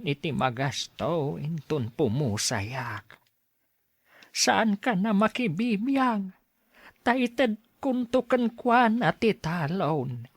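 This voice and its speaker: Elderly parent, radio drama, reproachful, extremely slow delivery, dry close sound. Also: female voice, lowish voice, animated, breathy edge, dry recording, exaggerated voice. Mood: sorrowful, bitter. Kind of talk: Ilocano radio drama acting.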